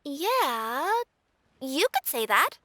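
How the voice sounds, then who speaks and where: A young cat-girl courier in an anime game, polite and earnest. Her voice is very high, sweet and bright, with a businesslike courtesy. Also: very animated, very slow, a little hiss or hum, full character voice. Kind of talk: English dubbed anime game acting.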